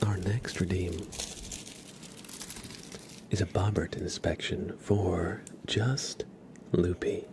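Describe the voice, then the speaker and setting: A man hosting an ASMR livestream, speaking in a breathy whisper and soft murmur, soothingly repeating words to viewers at a close microphone. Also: sits low, steady tone, takes it slowly, pauses often, noisy background. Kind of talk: ASMR, slow whispered roleplay and chat to viewers.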